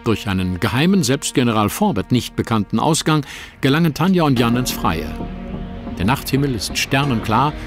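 A male narrator reading scripted narration for an audio drama in a measured way. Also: mid-range voice, very animated, faint music behind.

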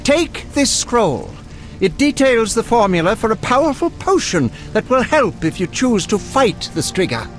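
A man's voice: very high-pitched, very animated, a little slow, soft background music, exaggerated voice. A man acting an eccentric, morbidly curious alchemist scholar in a video game, erudite and precise in his speech. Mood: scholarly, fascinated. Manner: erudite, precise, relishing grisly detail.

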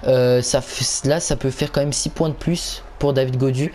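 Male gaming host. Relaxed, warm tone, stumbling casual play-by-play, light noise behind. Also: medium pitch, normal speed. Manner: hesitant, stumbling, repeats himself. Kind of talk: casual live game commentary.

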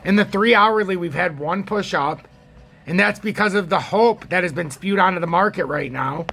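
An American man with a gravelly, husky voice giving casual, rambling, opinionated chart commentary as a trading video host. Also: sits high, normal speed, pushed and bright, slight background noise.

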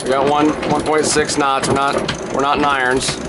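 Casual sailing vlogger over loud wind. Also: male voice, mid-range voice, normal speed. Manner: relaxed, talks while steering and giving crew instructions. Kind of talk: casual vlog narration. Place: sailboat cockpit and docks outdoors. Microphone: handheld camera at arm's length. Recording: loud wind and boat noise.

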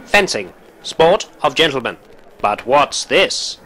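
A man's voice: mid-range voice, very animated, slow pace. A man voicing a comic ad as a mock sports announcer. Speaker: ad narrator. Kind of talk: comic ad voice-over. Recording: music and crowd sounds behind.

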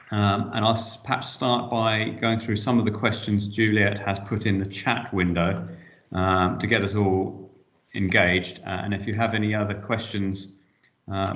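Low-voiced man chairing a video call. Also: fairly bright tone, dull audio. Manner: hesitant, many fillers. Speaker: panel chair. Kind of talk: casual online meeting moderation. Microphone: laptop mic on video call.

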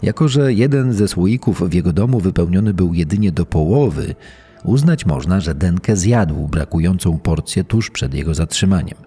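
A man playing a formal, pedantic official giving a measured statement in a dramatized crime documentary. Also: deep voice, animated, mellow, husky, soft background music. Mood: measured, emphatic. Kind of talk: dramatized reading of a historical statement.

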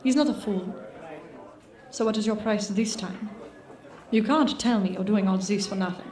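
A woman's voice: medium pitch, moderate pace, a little mellow, close to an everyday voice. An actress playing a proud, bitter, loyal woman in love in an emotional radio drama. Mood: bitter, scornful. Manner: emotional, reproachful. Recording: echoey hall with noisy ambience.

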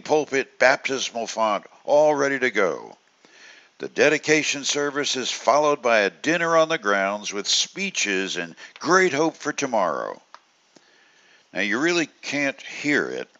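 A man hosting a devotional, reading a scripted reflection and scripture in a measured, expressive preacherly delivery. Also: lowish voice. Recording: dull, lo-fi voice recording.

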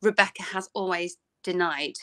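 A woman's voice: fairly low pitch, normal speed, pushed and bright. A young woman, an actress guest, talking earnestly about playing her role over a video call. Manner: thoughtful, earnest, some hesitant restarts. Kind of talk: casual interview chat.

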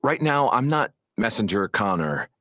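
A man's voice: lowish voice, very animated, moderate pace, pushed and bright, dry recording, clean studio sound. An actor voicing an earnest, slightly flustered male spiritual guide in a video game cutscene. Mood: tender.

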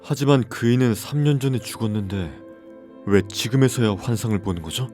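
A man's voice: medium pitch, a little fast, mellow, soft background music, close to an everyday voice. A man playing a troubled widowed father in his thirties who narrates a scripted horror story and acts out his lines. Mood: uneasy.

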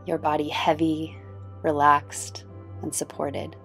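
A woman leads a guided meditation in a low voice with a noticeable creak. She speaks very slowly and gently, soothing the listener as a yoga nidra guide. Faint music runs behind her. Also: monotone. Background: background music.

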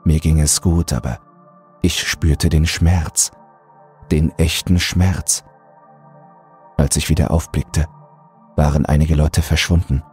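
A man narrating a scripted horror audiobook in a deliberate, brooding delivery. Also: a bass voice, fairly even intonation, darkish tone, slightly rough, faint music behind.

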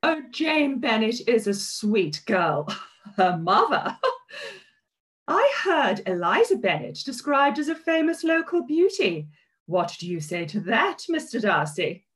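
Arch, high-voiced society lady woman acting. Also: big pitch swings, slow pace. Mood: arch, amused. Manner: arch, mocking, drawling. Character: haughty, teasing, snobbish Regency society lady. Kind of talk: theatrical period drama read-through. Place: kitchen at home, on a video call.

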